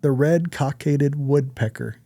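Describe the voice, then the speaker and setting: A man's voice: medium pitch, a little slow, warm tone, no echo, studio quality. A man hosting a podcast in a casual, conversational style, speaking bluntly and swearing freely. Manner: blunt, profane. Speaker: podcast host. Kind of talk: casual chat.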